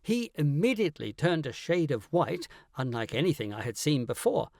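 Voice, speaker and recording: A man reading a scripted first-person story as an audiobook narrator, in an animated, dramatic way. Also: on the high side, moderate pace, creaky, dry recording, clean studio sound.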